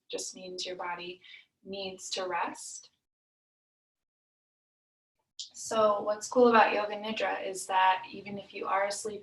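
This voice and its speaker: A woman leading a guided meditation as a yoga nidra teacher, soothing and unhurried, with long pauses between phrases. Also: medium pitch, fairly bright tone. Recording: roomy echo, distant mic.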